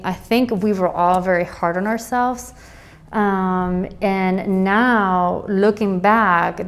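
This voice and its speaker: Female administrator, candid and reflective, close lapel mic, indoor office. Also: mid-range voice. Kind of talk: interview.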